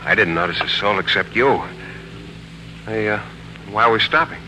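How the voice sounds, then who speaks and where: A boyish, drunk-happy American romantic in a vintage radio play. His lowish voice is very sharp and swings around earnestly. Faint noise and hum sit behind the old broadcast. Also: animated, moderate pace, poor audio. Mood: tipsy, smitten. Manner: tipsy, earnest. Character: tipsy, smitten ex-army suitor, boyish and rambling. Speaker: radio drama actor. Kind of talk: 1940s American radio drama acting.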